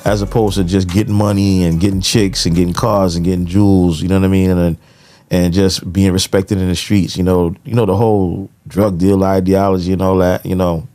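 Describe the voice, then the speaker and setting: Low-pitched American man talking casually. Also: little pitch movement, a little fast, dark tone, faint music behind. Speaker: podcast co-host. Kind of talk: casual podcast conversation.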